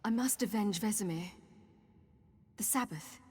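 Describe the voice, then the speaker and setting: A woman's voice: mid-range voice, measured pace, darkish tone, in a large hall, slight background noise, lightly acted. A woman voicing a young, restless swordswoman in a video game, speaking earnestly and urgently. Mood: urgent, resolute. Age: young adult. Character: determined, restless young swordswoman and fledgling mage. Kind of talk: video game cutscene acting.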